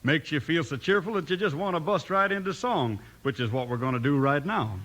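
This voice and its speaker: A man's voice: on the high side, animated, brisk, fairly bright tone. A man working as a co-announcer on a 1950s children's radio show, explaining and selling in a folksy way. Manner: folksy, explanatory, dropped syllables.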